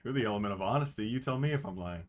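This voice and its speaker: Man reading as a stranded human guy, low voice, matter-of-fact. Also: expressive, racing, lightly acted.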